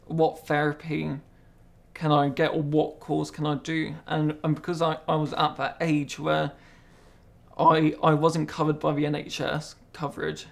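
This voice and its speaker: Young man, stammer, repeats words, gravelly, flat delivery, interview. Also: a little high. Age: young adult. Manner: stammers, repeats words, speaks slowly and carefully. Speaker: interviewee, apprentice. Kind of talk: interview conversation.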